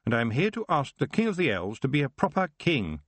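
Young witch girl, played by a man: resolute, defiant, emphatic, raised pitch, fantasy audiobook. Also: very animated, fast talker, a bit bright, no echo. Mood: firm. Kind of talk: audiobook character voice.

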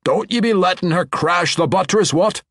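A man voicing a boisterous, gruff male wild dwarf leader with a hearty, folksy brogue. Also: high-pitched, normal speed, dry recording, studio quality, voice pushed up. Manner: hearty, folksy dwarven brogue, loud calls.

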